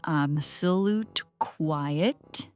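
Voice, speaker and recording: A woman's voice: deep voice, very slow, darkish tone, clear, resonant tone, faint music behind. A woman voicing a grumpy, fussy male bear with formal, indignant phrasing in a storybook reading. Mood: fussy, reproving. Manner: indignant, melodramatic, formal wording.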